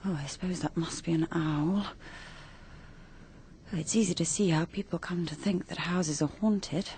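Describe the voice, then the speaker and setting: An actress playing a brisk, practical married Englishwoman in a British radio mystery drama, with an English accent and a crisp, businesslike manner. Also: lowish voice, a little mellow, slight background noise, lightly acted. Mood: uneasy, hushed.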